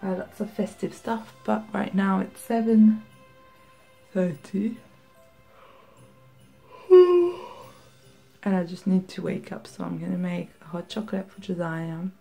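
A woman in her thirties chatting casually to camera and to her young children in a home vlog, sing-song and animated with the kids. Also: medium pitch, unhurried, vocal fry, pauses often, breathy edge, faint music behind. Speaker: vlogger and mother. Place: indoors at home, kitchen and bedroom.

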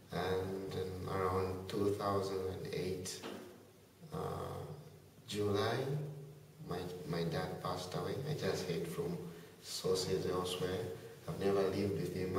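A teenage boy from Zambia answering interview questions in a thoughtful, soft-spoken way. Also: low-pitched, slight background noise. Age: teens. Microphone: far camera. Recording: echoey room from far off.

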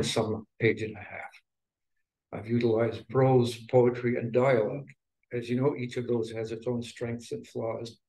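Casting: older man, Canadian from Manitoba, reflective poet explaining his work, medium pitch, unhurried phrasing. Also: a little mellow, rough recording. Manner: unhurried, reflective, pausing between short phrases. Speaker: featured poet. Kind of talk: informal explanatory talk at a poetry reading.

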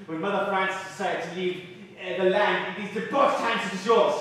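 A man's voice: sits high, moderate pace, fairly bright tone. An actor playing a fiery male revolutionary in disguise as a duke, ranting scornfully in theatrical declamation. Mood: furious. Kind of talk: audio drama acting. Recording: echoey hall, voice from far off.

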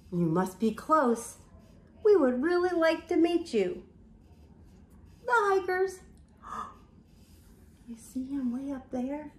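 Slow, animated teacher reading to little kids. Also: female voice, sits high, echoey room. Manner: animated storytelling with gasps and whispers. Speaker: teacher reading aloud to young children. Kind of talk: picture-book read-aloud. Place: home room, indoors.

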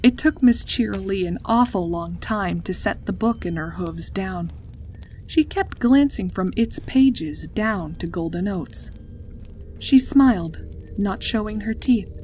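A woman's voice: medium pitch, expressive, unhurried, a little mellow, a little hiss or hum, poor audio. A woman reading a scripted story as its narrator. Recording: music behind the voice. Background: background music.

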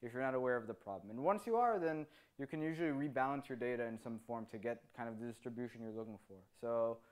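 A man in his thirties, native Hebrew speaker, fields questions from an audience in a meeting room. He talks into a handheld mic, animated and gesturing, tagging his points with a quick "right?". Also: mid-range voice. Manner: animated, gestures with free hand, tags sentences with "right?". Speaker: conference speaker. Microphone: handheld microphone.

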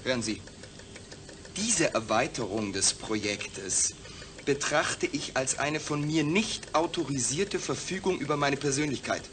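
A man's voice: medium pitch, lively intonation, a little slow, background noise, close to an everyday voice. A man playing a proud, demanding astronaut patient in a science-fiction radio drama, speaking in an emphatic, articulate way. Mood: defiant, indignant.

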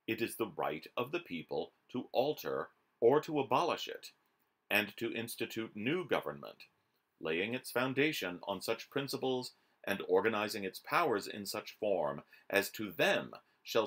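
A middle-aged man reads a formal historical text aloud. His voice sits on the low side and moves widely up and down, with a measured, declamatory delivery. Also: a bit bright, breathy edge. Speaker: reader. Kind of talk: reading a historical document aloud. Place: small room at home, indoors.

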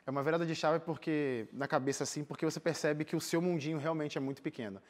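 A young Brazilian man speaks quickly in a slightly high voice. He tells his experiences in a casual, animated way, often restarting phrases as a studio interview guest. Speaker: interview guest, singer and missionary volunteer. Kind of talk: casual personal storytelling in an interview. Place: TV studio, seated.

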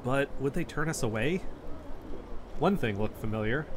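An actor playing an earnest amateur investigator, narrating events in first person as scripted audio drama. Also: male voice, mid-range voice, animated, normal speed, background noise, natural voice. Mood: earnest, intrigued.

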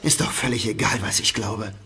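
A man's voice: on the low side, steady tone, a little fast, sharp tone, husky, full character voice. A man voicing a thoughtful teenage detective in an audio drama, pondering and questioning. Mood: tense.

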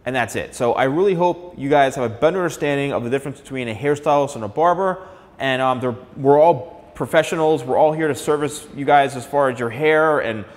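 Nj guy in his 40s chatting about hair, relaxed and talky, big echoey room. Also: mid-range voice, brisk. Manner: conversational, gestures a lot, frequent "all right". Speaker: hairstylist and channel host. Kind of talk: casual explainer to camera. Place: large studio room with piano, indoors. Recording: long reverb in a bare room.

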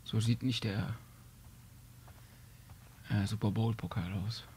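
A man's voice: on the low side, steady tone, slow pace, darkish tone, a little hiss or hum. A young man giving casual, joking commentary while playing a video game. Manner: joking, offhand remarks. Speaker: let's play commentator.

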